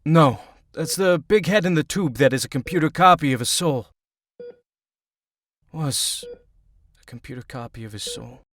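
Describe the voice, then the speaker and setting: An earnest teenage hero, with a fairly high male voice. He speaks in a guarded, halting way, like natural dialogue in an audio drama. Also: lively intonation, a bit bright. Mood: subdued.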